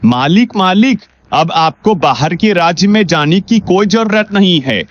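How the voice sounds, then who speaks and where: A man playing a dutiful, excited servant bringing news in a cartoon moral story. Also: sits high, unhurried. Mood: urgent. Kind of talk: Hindi animated moral story acting. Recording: dull lo-fi sound.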